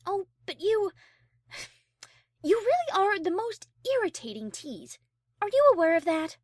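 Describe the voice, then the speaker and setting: A woman playing a haughty, high-class female pony who is prim, formal and easily flustered, in an audio drama. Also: sing-song, measured pace, no echo, clean studio sound, heavily acted. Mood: prim, exasperated. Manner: prim, formal, refined diction. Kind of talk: fan audio drama character acting.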